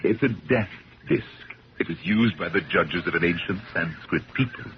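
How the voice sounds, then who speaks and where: An actor playing a courteous, rambling old bachelor collector in a 1970s radio drama, genteel and hesitant, with a creaky voice. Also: male voice, sits low, normal speed. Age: older. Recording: dull, hissy old radio broadcast.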